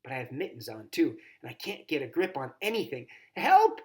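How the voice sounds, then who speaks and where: A man's voice: mid-range voice, animated, quick pace, fairly bright tone. A man reading a children's novel aloud expressively, as a volunteer story reader.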